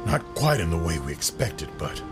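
An actor playing a scarred former district attorney turned crime boss, brooding and bitter, with two sides that argue. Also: male voice, low voice, very animated, normal speed, over music.

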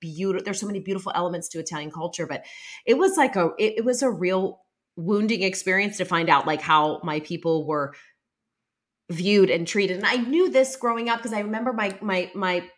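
Halting, reflective woman podcast host. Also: mid-range voice. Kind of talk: casual interview chat.

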